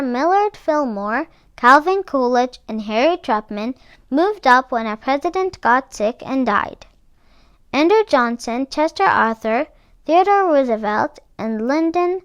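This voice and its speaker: Slow, high-voiced woman reading aloud. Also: fairly bright tone, clean tone, dry recording. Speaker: read-aloud book club host. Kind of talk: children's picture book read-aloud.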